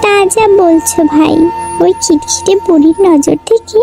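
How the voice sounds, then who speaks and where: A little cartoon mother bird, earnest, chirpy and protective. The voice is extremely high and childlike, over clearly audible music. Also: unhurried, mellow, heavily acted. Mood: worried, earnest. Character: small anxious mother bird, protective and plucky.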